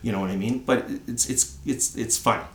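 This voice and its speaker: A man's voice: medium pitch, steady tone, fairly quick, reverberant, a little hiss or hum. A man in his thirties from Canada, chatting on a podcast, rambling and tagging on "right?" again and again. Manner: rambling, says "right?" often. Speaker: podcast co-host. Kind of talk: casual chat.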